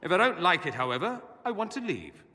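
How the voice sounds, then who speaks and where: A man's voice: high voice, sing-song, fairly quick, sharp tone, heavily acted. An actor playing a witty, proud, bitter young nobleman mage in a video game, with a sardonic, theatrical and eloquent delivery. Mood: sardonic, resentful. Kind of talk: dramatic video game acting. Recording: long hall reverb.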